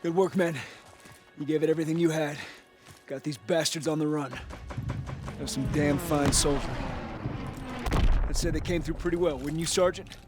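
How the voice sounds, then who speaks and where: An encouraging officer played by a male actor, a little high in pitch. He is supportive toward his men in cinematic war game style, with clear music behind. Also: fairly even intonation, moderate pace, close to an everyday voice. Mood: approving.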